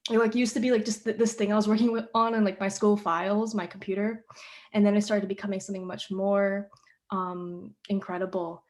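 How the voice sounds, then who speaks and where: A woman's voice: mid-range voice, flat delivery, fairly quick, a little mellow. A teenage girl, a playwright guest on a panel, answering questions earnestly with many fillers over a video call. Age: teens.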